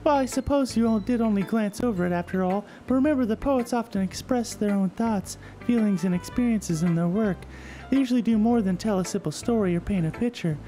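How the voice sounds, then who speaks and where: A woman's voice: mid-range voice, brisk, warm tone, close to an everyday voice, poor audio. A woman voicing a shy, bookish teenage girl poet in a visual novel, speaking hesitantly and earnestly. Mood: gently explaining. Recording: game music under the voice.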